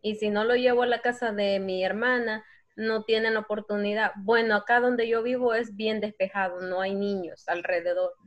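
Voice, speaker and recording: A woman's voice: mid-range voice, steady tone, moderate pace, dull audio. A woman casually telling personal anecdotes in a class discussion, in a chatty storytelling way.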